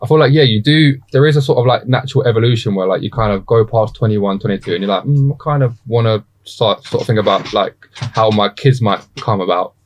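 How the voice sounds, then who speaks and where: A man's voice: fairly low pitch, fast, a little hiss or hum. A man in his twenties hosting a casual podcast conversation, slangy and rambling, often cutting in. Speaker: podcast host.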